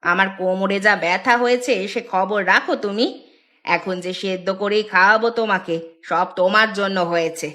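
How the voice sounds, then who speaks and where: An actress voicing a teasing, outspoken village housewife in a cartoon, with expressive, dramatic delivery. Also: female voice, medium pitch, moderate pace, close to an everyday voice. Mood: grumbling. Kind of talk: Bengali cartoon voice acting.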